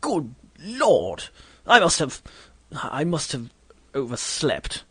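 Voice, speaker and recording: A man's voice: a little high, wildly swooping pitch, takes it slowly, sharp tone, husky, no room sound, full character voice. Pompous, grumpy Victorian doctor, played by a man. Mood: flustered. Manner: pompous, wordy storytelling. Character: grumpy, vain, lovelorn Victorian male doctor telling his misadventures. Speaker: narrator and lead character. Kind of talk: scripted first-person audio drama narration and dialogue.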